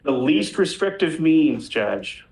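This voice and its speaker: Measured American male defense attorney. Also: fairly high pitch, sing-song, creaky, a little mellow, poor audio. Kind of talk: unscripted courtroom argument.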